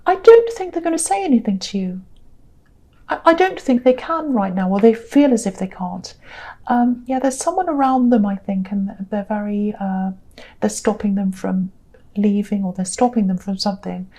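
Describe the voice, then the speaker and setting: A woman with a tired, croaky voice giving a gentle, rambling tarot reading to her channel's viewers, often saying "you know" and "um". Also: medium pitch, normal speed. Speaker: tarot reader. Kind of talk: casual tarot reading monologue.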